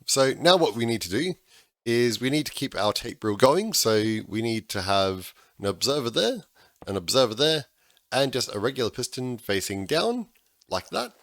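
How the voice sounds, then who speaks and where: A man, slightly hoarse because he is losing his voice, talking through a game-building tutorial in a chatty way with frequent restarts. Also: medium pitch, sing-song, moderate pace, fairly bright tone, dry recording, studio quality. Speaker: gaming tutorial host. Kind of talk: casual game tutorial voice-over.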